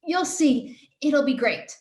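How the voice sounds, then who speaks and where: An actress playing an earnest, caring woman, halting as she searches for words, in a play reading over a video call. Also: high voice, expressive, a little mellow. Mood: encouraging. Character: earnest, caring woman pleading with a cynical friend. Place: roomy space, live Zoom call. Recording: roomy echo on video call.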